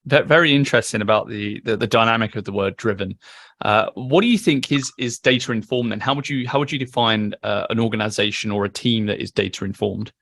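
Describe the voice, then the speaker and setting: Male podcast host: quick, low-voiced, casual questioner. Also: gravelly, a bit bright, no room sound. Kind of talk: podcast interview.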